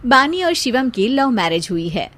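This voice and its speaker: A woman's voice: on the high side, big pitch swings, moderate pace, fairly bright tone. A woman giving scripted narration for a family cartoon story.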